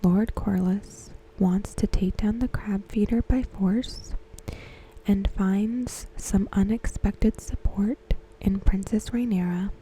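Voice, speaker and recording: A woman softly whispering a scripted recap in ASMR style, close to the microphone, with gentle, deliberate phrasing. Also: medium pitch, steady tone, slight background noise, poor audio. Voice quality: soft, breathy, mostly whispered. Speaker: ASMR host. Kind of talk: ASMR, softly reading a scripted episode synopsis.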